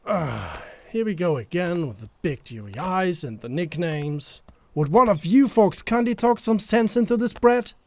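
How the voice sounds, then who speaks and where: A man's voice: high voice, lively intonation, unhurried. Wry, sardonic male officer played by a man. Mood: exasperated. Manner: sardonic, teasing. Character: wry, teasing adult male military superior officer. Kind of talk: animated fandub comic voice acting.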